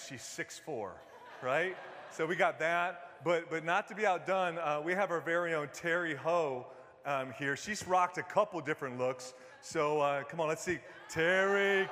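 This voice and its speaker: A man's voice: sits high, fairly bright tone, a little hiss or hum. Pastor Man preaching in a reverberant church. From Detroit, US Midwest. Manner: conversational preaching, many fillers and restarts, jokes with the congregation. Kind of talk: sermon. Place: church sanctuary. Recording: long reverb of a large hall.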